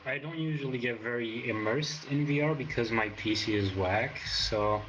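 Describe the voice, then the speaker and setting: A man's voice: mid-range voice, normal speed, dull audio, music in the background. A man in his twenties from Portugal with a Portuguese accent, a guest in a casual interview, hesitant and full of fillers. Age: 20s. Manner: hesitant, many fillers and restarts.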